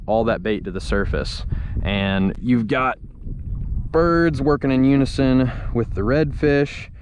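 A man's voice: mid-range voice, takes it slowly. A young adult man giving casual commentary as a fishing vlogger, talking into an action camera outdoors. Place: outdoors, on open shallow bay water. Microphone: action camera worn or held close. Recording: outdoor camera with loud wind and water noise.

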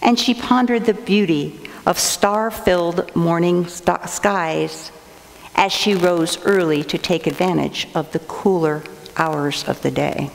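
An older woman, an assistant pastor, preaching a measured sermon from a church lectern. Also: on the low side, creaky, slight background noise. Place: church sanctuary, indoors. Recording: long reverb of a church sanctuary.

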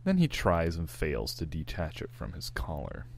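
A man's voice: extremely low pitch, wildly swooping pitch, moderate pace, vocal fry, slight background noise. A man reading scripted narration for a fan-fiction screenplay.

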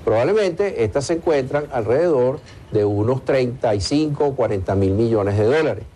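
A man, giving hesitant, deliberate analysis in a television interview. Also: mid-range voice, lively intonation, dark tone, breathy edge. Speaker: economic analyst being interviewed. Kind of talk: live television news interview. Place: TV studio in Caracas.

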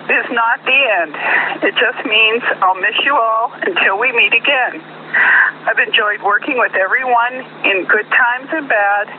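An American man making a formal announcement over two-way radio. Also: high voice. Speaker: retiring emergency services worker. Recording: tinny, faintly noisy radio.

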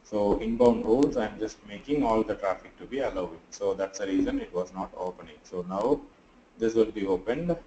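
A tired, sleepy man teaching a live online class, talking through his screen in a halting way full of fillers. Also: lowish voice, dark tone, echoey room, dull audio, slight background noise. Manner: halting, thinks aloud with many fillers. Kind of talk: live screen-share tutorial.

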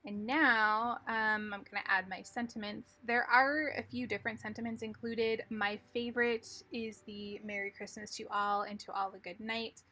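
A woman calmly explaining each step as she narrates a card-making tutorial. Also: on the high side, normal speed, few pauses, soft background music. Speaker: craft tutorial host.

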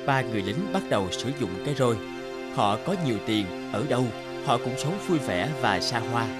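Man, storybook narrator, steady, cartoon tale, middle pitch, guitar music behind. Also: normal speed. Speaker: narrator voicing all characters. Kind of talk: cartoon storytelling, one reader doing all voices. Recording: music bed under the voice.

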